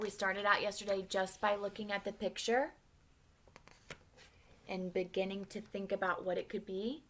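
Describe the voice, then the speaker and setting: A young woman at home teaching children new words and reading them a storybook aloud, with lively expression and soft whispered asides. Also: medium pitch. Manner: animated, drops to whispers for asides. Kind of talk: storybook read-aloud with vocabulary lesson.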